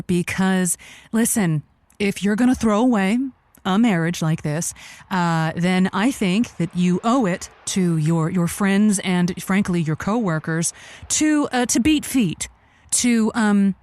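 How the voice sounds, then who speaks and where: A woman playing a halting, flustered radio advice host. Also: medium pitch, a little mellow, no echo, lightly acted. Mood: flustered, earnest. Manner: halting, stammering, earnest. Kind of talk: improvised audio drama, call-in advice.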